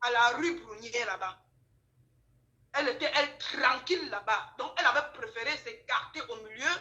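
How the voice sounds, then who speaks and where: A woman with a Cameroonian French accent telling gossip casually, in an emphatic, animated way, repeating phrases and acting out dialogue. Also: on the high side, normal speed, dull audio. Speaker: guest telling her account. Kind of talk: casual spoken storytelling, gossip. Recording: roomy, echoing sound.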